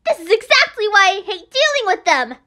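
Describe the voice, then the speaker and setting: A woman's voice: very high-pitched, sing-song, a little fast, sharp tone, full character voice. A woman voicing a brash, hot-tempered teenage girl in an anime game, blunt and scolding. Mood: indignant. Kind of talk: amateur anime game voice acting.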